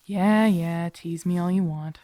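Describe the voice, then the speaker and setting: A woman's voice: on the low side, a little slow, dark tone, no echo, natural voice. A woman acting in an audio roleplay as a grumpy, protective zombie apocalypse survivor, blunt and sardonic, talking intimately to her companion. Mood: wistful, animated.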